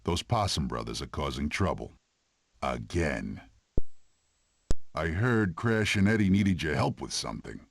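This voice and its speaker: Deep, sardonic cartoon beast. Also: male voice, big pitch swings, measured pace, gravelly, pauses often, a little hiss or hum, full character voice. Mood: dry, weary. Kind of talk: animated family game voice acting.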